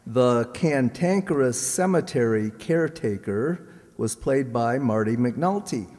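A man's voice: mid-range voice, expressive, measured pace, a little mellow. Man announcing in an echoey hall. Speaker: announcer of a community radio players' show. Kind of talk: reading cast credits to an audience, with joking asides. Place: large hall stage, indoors. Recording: echoey hall, audience noise.

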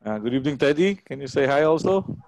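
A man in his forties with a Filipino accent, hosting an online coaching talk informally and haltingly into a headset. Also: mid-range voice, lively intonation, creaky, darkish tone, slight background noise, low-quality recording. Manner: halting, hesitant delivery. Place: small room at home.

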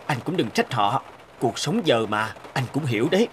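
A man's voice: mid-range voice, very animated, normal speed, a little hiss or hum, natural voice. Man as earnest, kindly cartoon truck driver. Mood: reflective. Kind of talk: cartoon voice acting.

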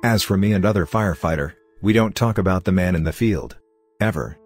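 A man with a very deep voice narrates horror stories in a steady, measured way. Soft music plays faintly underneath him.

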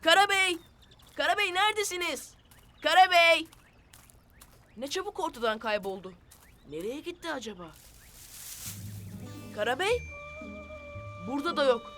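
A woman voicing an earnest, helpful young boy hero in an animated children's series. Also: very high voice, moderate pace, pauses often, over music. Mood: searching, calling out.

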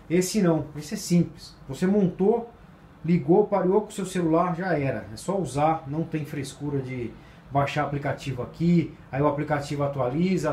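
A man's voice: fairly high pitch, mellow. A man presenting a product casually and informally for a shop, repeating himself for emphasis. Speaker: motorcycle accessory shop presenter. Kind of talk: casual product review to camera. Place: indoors at a table by a large sunny window. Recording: background ambient noise.

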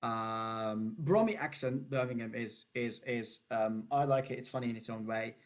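A man's voice: mid-range voice, moderate pace. A Syrian-born man in his thirties hosting a podcast, chatting casually with lots of restarts and laughs. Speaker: podcast host. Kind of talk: casual interview chat.

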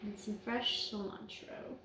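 A young woman from South Louisiana hosting a home cooking show, explaining each step calmly and then narrating some food history. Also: medium pitch, takes it slowly, noisy background. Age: young adult. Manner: calm, instructional. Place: home kitchen, indoors. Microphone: camera mic, across the kitchen. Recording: echoey kitchen room sound.

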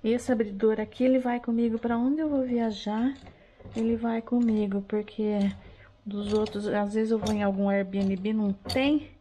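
A middle-aged woman with a Brazilian Portuguese accent chats to her viewers while she cooks in her home kitchen, checking in with them after every step. Her voice sits on the higher side. A faint hiss runs under the head-mounted camera's sound. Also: fairly even intonation, measured pace, warm tone. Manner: chatty, explains each step, many tag questions. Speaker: cooking vlogger. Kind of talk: casual cooking chat to camera.

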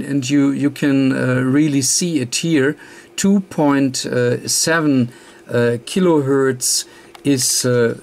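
Very slow, halting man explaining technical material. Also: mid-range voice. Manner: very halting, hesitant delivery with frequent long filled pauses. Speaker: tutorial presenter. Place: indoors at an electronics workbench.

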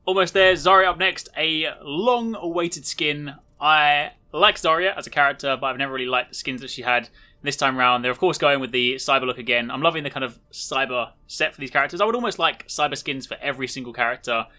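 A man's voice: fairly high pitch, brisk, pushed and bright. A man hosting a gaming video, giving chatty, informal, enthusiastic showcase commentary.

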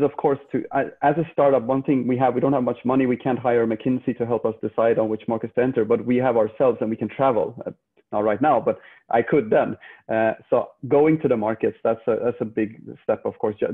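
A man's voice: medium pitch, breathy edge. A man in his thirties from Sweden with a Swedish accent, a startup founder chatting casually on a video call, giving tips and often restarting. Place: room at home with a couch. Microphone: earbuds on a video call. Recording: phone-like video call audio.

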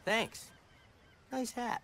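An actor playing a cocky young American movie star in a game, flippant and swaggering. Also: male voice, drawn-out words, a bit bright, slight background noise. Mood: dismissive. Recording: music behind the voice.

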